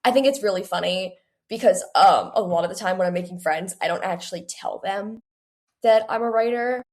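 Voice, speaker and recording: Casting: female, late teens, creaky mid-range voice, earnest and chatty, casual interview guest. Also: mid-range voice, a little fast, a little mellow. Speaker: young author being interviewed.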